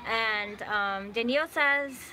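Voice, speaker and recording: A woman's voice: a little high, slow pace, bright, forceful tone, a little hiss or hum, rough recording. A woman co-hosting a casual livestream, reading viewer comments aloud and giggling.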